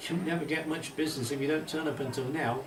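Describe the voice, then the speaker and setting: A man's voice: on the high side, fairly even intonation, quick pace, pitched above the performer's own voice, close to an everyday voice. A man voicing a jovial, teasing villager from a Cornish fishing village in a storybook, with a Cornish accent. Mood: joking, teasing. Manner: hearty, teasing. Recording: distant mic, roomy echo, faint hiss.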